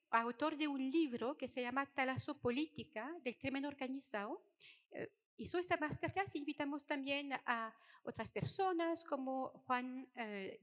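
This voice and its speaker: A woman's voice: sits high, a bit bright. A middle-aged woman from France with a French accent, giving a conference talk in a hesitant way, with many fillers and restarts. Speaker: conference speaker. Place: conference hall. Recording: hall reverb.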